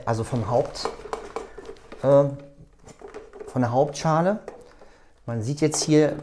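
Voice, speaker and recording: Mellow-voiced man giving a hands-on tutorial. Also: mid-range voice, a little hiss or hum. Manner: unscripted, halting, step-by-step explaining. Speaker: tutorial host. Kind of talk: hands-on tutorial, talking while working.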